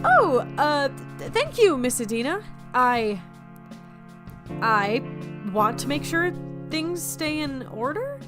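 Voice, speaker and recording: A woman playing a feisty, flustered young office newcomer in an audio drama, excitable, sarcastic and prone to stammering. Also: sits high, slow, pauses often, over music, heavily acted. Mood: flustered, nervous.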